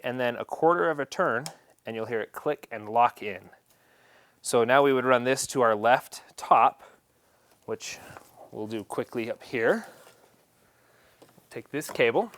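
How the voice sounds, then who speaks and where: A man presenting an instructional equipment setup tutorial, explaining each step in a plain, practical way. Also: medium pitch.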